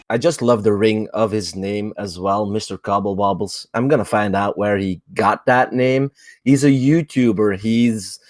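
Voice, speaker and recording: Slow-talking, low-voiced podcast host. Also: male voice, no room sound. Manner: slow, friendly, lavish with praise. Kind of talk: casual podcast interview.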